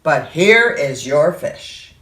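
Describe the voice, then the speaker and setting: Slow, tired-sounding old fish guy, knowing and resigned, a woman doing it, storybook voice, echoey. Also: deep voice, sing-song, a bit bright, pitched below the performer's own voice, full character voice. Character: knowing, weary older man, fish seller. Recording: echoey room, distant mic.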